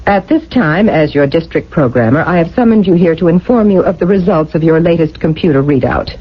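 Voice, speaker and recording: A stern, officious programmer of a future society speaks in formal, bureaucratic phrases. It is radio drama acting, heard through a dull vintage recording. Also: female voice, sits low, expressive, fairly quick, breathy edge. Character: cold, officious female district programmer of a future state.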